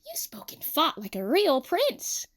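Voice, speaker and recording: A woman's voice: high voice, huge pitch swings, measured pace, fairly bright tone, pitched above the performer's own voice, full character voice. A woman voicing a hyper, cheerful teenage girl who squeals and bubbles over with energy. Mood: excited.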